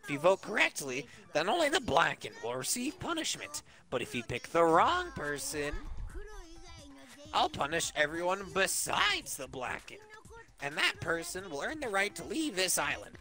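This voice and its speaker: A man's voice: a little high, huge pitch swings, moderate pace, bright, forceful tone, full character voice. A man voicing a gleeful, menacing robot bear who announces deadly rules in an amateur game dub. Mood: gleeful, taunting.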